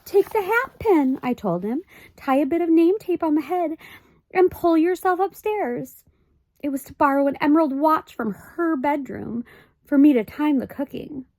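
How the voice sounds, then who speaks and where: Brief: a woman doing a high-strung storybook mother, shrill and wavering, swinging wide, dry close sound. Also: lively intonation, moderate pace, darkish tone, no room sound. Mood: fretting. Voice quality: high, quavering, melodramatic. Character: fretful, tearful tiny mother, middle-aged woman.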